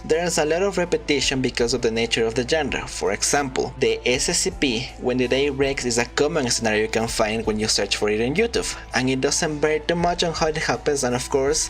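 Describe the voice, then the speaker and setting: A man narrating a scripted video essay with wry, rambling asides. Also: fairly high pitch.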